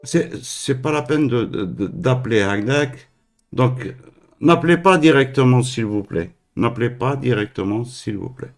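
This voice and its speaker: Male call-in host from Algeria; unhurried, halting delivery full of fillers. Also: mid-range voice, animated, faint music behind. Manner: hesitant, halting, many fillers and restarts. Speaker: host of a live call-in stream. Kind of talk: live call-in talk.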